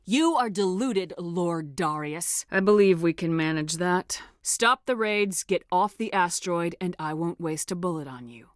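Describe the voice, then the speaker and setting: Game lead: a woman playing a tough female commander, lower register, firm and no-nonsense, very dry studio sound. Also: measured pace, dry recording, lightly acted. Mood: threatening, scornful. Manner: firm, clipped, authoritative. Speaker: video game voice actor. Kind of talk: video game dialogue acting.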